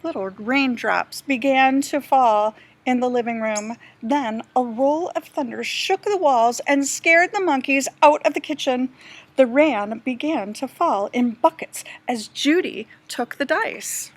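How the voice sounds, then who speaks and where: A woman reading a children's storybook aloud like a teacher, with deliberate, expressive storytelling. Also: high voice.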